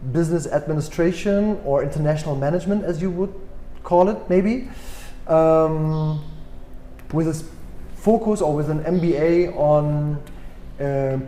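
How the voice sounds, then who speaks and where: A man in his forties with a German accent, answering interview questions thoughtfully with many pauses and restarts. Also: a little high, fairly even intonation, dark tone, roomy sound, a little hiss or hum. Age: 40s. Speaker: guest executive.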